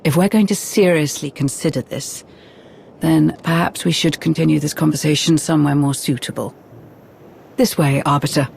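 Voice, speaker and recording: An actress playing a cool, calculating imperial intelligence officer in a video game cutscene, speaking in a formal, precise way. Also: female voice, low-pitched, fairly even intonation, normal speed, creaky, a little mellow, slight background noise. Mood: cool, guarded.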